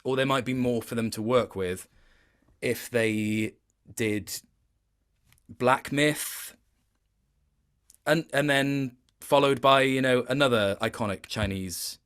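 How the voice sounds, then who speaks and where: A man speaking as an interview guest in a casual chat, thoughtful and halting, often reworking his sentences as he goes. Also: medium pitch, a bit bright, clean tone. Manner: thoughtful, halting, with many self-corrections.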